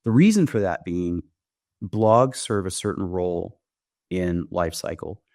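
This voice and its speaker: Low voiced guy, startup founder walking thru his software, chatty pitch, says right? a lot, dry close sound. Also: a little slow, dry recording. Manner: explanatory, often tags points with "right?". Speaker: company founder demonstrating software, interview guest. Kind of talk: conversational sales pitch explanation.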